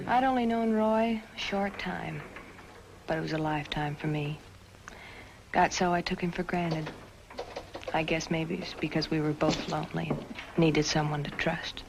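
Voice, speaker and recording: A lonely, wistful young woman from a 1950s crime film. Her voice sits on the low side, hushed and breathy, devoted yet guarded. Music plays clearly beneath the old soundtrack. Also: fairly even intonation, moderate pace, pauses often. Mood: wistful, confiding. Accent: American. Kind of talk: 1950s film noir acting.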